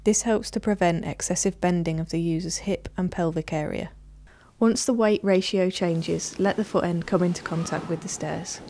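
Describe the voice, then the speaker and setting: A woman reads scripted instructional narration in a measured, formal, clearly enunciated manner. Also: lowish voice, warm tone, dry recording. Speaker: narrator of a product training video.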